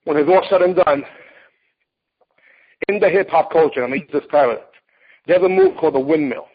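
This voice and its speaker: A man in his late forties who talks bluntly and emphatically, lecturing as he tells his story in an interview. His voice sits a little high and has a husky, slightly rough edge. The recording sounds dull and lo-fi, with the top end missing. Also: brisk. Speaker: martial arts competitor and teacher.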